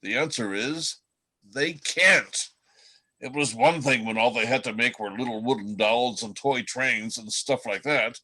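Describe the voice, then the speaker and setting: Guy doing a chatty reindeer in a radio play, bright voice, folksy and a bit halting, kinda jaded. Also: medium pitch, husky, low-quality recording. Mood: wry, explaining. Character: chatty, world-weary male reindeer telling old North Pole gossip. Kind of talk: radio play reading by amateur readers.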